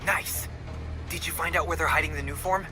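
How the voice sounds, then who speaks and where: A man's voice: fairly high pitch, steady tone, fairly quick, over music, lightly acted. A man voicing a goofy, chatty teen sidekick. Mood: playful. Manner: rambling, playful, full of odd tangents. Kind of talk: video game cutscene acting.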